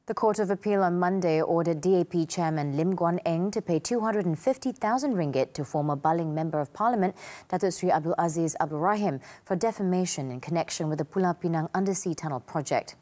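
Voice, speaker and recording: A female news anchor speaks. Her voice sits on the low side as she delivers prepared bulletins in a formal newsreading style. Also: fast talker, dark tone. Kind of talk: scripted news reading.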